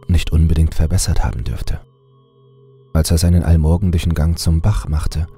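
Very deep, dark-voiced male narrator. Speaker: horror story narrator. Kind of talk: scripted creepypasta narration.

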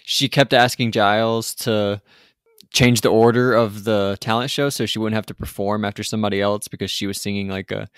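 A man's voice: on the low side, flat delivery, a little fast, fairly bright tone, clean tone, no echo, studio quality. A man co-hosting a podcast, recapping in a loose, rambling, informal way. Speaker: podcast co-host. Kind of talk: casual film and TV recap chat.

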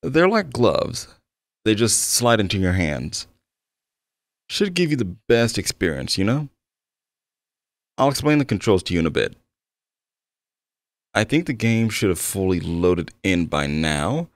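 A man acts out an eager male game developer in an intimate audio roleplay. His voice is low and swings expressively as he talks attentively, a little awkward and quick to apologize. The sound is close and dry. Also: very animated, no echo. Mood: attentive, helpful. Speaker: roleplay voice actor. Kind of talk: ASMR-style roleplay addressed to the listener.

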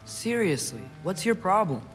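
A man's voice: high-pitched, sing-song, normal speed, faint music behind. A young man playing a sullen, defensive teenage boy in a video game.

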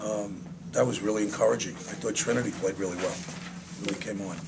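An older man, a head coach, answering postgame questions at a press conference in a halting, subdued way with many restarts. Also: fairly low pitch, steady tone, gravelly. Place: small press room with sponsor backdrop. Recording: noisy room ambience.

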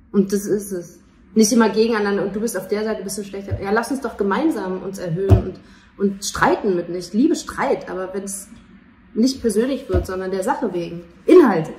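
A young woman speaking casually and spontaneously as an interview guest, halting as she searches for words. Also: medium pitch, mellow, far from the mic. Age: young adult. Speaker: stage performer and interview guest. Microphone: handheld interview microphone. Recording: echoey, slightly noisy, off-mic.

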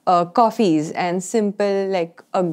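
A young woman chef and cafe owner answering interview questions in a relaxed, thoughtful way. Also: mid-range voice, unhurried. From India.

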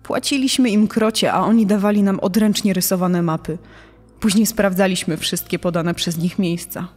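A grieving mother played by a woman gives resigned testimony in a true-crime drama. Her medium-pitched voice carries long reverb. Faint music runs underneath. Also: steady tone, normal speed, a little mellow, close to an everyday voice. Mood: resigned sorrow. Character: bereaved mother recalling search for missing son.

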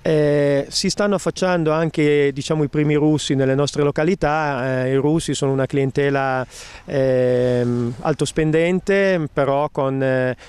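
A middle-aged man, a ski resort company president, answering questions outdoors on a snowy slope into a handheld mic, measured and hesitant with many filler pauses. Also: a little high, fairly even intonation, darkish tone, smooth, no echo. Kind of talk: outdoor TV interview. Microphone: handheld mic held by interviewer.

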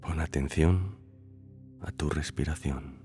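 Male meditation guide, extremely low register, calm and measured, soft music underneath. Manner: calm, unhurried phrasing with long pauses. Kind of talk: slow scripted guided meditation. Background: background music.

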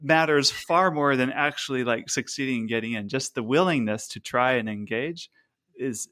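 A man's voice: mid-range voice, normal speed, fairly bright tone. A man in his thirties co-hosting a podcast over a remote call, asking questions in an easygoing way and backing his partner with frequent "uh-huh"s. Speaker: podcast co-host. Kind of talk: casual interview chat. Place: small room at home. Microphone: studio mic on stand, remote call.

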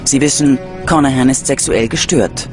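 A man's voice: mid-range voice, normal speed. A man reading scripted true-crime documentary narration as the narrator. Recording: music behind the voice. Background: background music.

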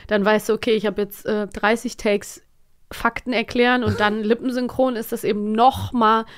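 A woman's voice: a little high, fairly even intonation, normal speed. A woman in her thirties co-hosting a podcast in casual, chatty German conversation, talking with many fillers. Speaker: podcast co-host. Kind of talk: casual chat.

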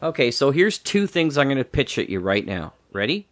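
A man talks casually as a podcast interviewer. His voice sits at an average male pitch. The recording is dry, with no room sound around him. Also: sing-song, fairly quick, a bit bright, no echo.

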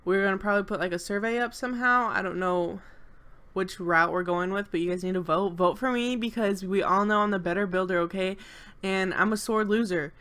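A woman's voice: medium pitch, brisk, fairly bright tone. A young woman with a congested, stuffed-up nose, talking casually and nervously over a game build at home, rambling with lots of fillers. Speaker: channel co-host.

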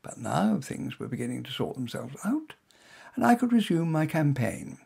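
A man reads his own short story aloud, wry and conversational. His voice is gravelly and a touch husky. His pitch rises and falls freely as he tells it. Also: moderate pace. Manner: dry, wry, conversational delivery with lively pitch. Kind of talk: first-person storytelling.

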